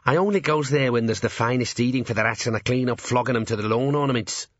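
A man voicing a tiny, cocky, belligerent gnome rat-catcher in an audiobook, with rough, slangy, combative speech. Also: mid-range voice, quick pace, dry recording, dull audio, natural voice. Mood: boastful, insistent. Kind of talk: character voice in audiobook narration.

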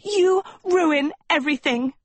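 A woman's voice: high-pitched, expressive, measured pace, a little mellow, slightly rough, no room sound, voice pushed up, heavily acted. A woman voicing a vain, indignant teenage girl in an audiobook, melodramatic and overwrought. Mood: furious.

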